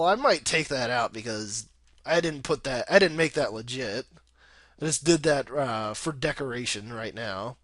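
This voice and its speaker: Bright-voiced young man, close mic. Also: on the high side, dry recording. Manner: rambling, informal, swears freely. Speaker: video game let's play host. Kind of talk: casual gameplay commentary.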